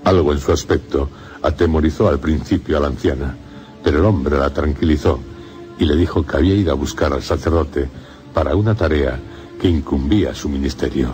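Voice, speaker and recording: A man narrating a gothic horror radio drama in a solemn, measured way. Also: rumbling low, a little mellow. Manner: solemn, measured storytelling in short phrases. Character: grave male narrator of a gothic horror tale. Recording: music under the voice.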